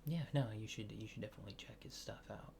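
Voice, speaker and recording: Man, soft ASMR whisper, gravelly fry, hesitant and reassuring, casual alien technician roleplay, noisy recording with clicks. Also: fast. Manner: hesitant, rambling, low-key reassuring. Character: casual, polite alien technician erasing an abductee's memories. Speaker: ASMR creator.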